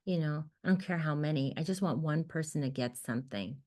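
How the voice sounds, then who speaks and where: Woman poet, Armenian first language, fairly low voice, emphatic and animated; video-call interview. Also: brisk. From United States. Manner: expressive, emphatic, rises in pitch when animated. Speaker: poet, guest author. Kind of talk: casual conversation about writing. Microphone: computer mic on a video call.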